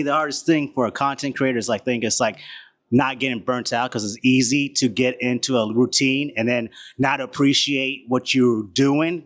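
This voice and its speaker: A man's voice: mid-range voice, fairly bright tone. A man who creates online content, speaking hesitantly on a panel and using "like" often. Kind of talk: casual panel chat.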